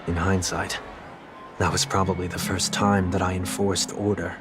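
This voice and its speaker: Actor as a grave, dignified gentleman, low-voiced. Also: flat delivery, music in the background, close to an everyday voice. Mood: solemn, reflective. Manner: formal, measured, solemn. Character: grave, dignified, reflective young gentleman of high office. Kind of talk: scripted video game voice acting.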